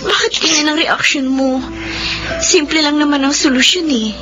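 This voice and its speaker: A high, emotional young woman's voice played by an actress as an anxious, pregnant girlfriend in a radio drama. She is earnest and insistent. The audio is slightly muffled, with music underneath. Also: normal speed, natural voice. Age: young adult. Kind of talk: Filipino radio drama acting.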